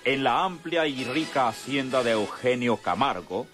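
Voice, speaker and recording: Un hombre que narra una radionovela con guion, en estilo dramático. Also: male voice, medium pitch, animated, moderate pace, over loud music. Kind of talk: scripted dramatic narration.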